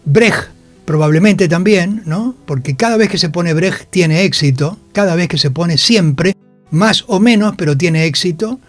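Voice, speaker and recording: A man's voice: sits high, unhurried, faint music behind. A man, a theatre actor and director, talks in a radio interview, telling anecdotes emphatically and repeating phrases. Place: radio studio.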